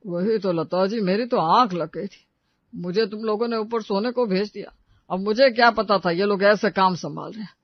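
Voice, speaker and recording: A man's voice: high voice, moderate pace, no room sound. A man playing an older father-in-law who lectures his daughters-in-law indignantly. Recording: dull dry voice-over.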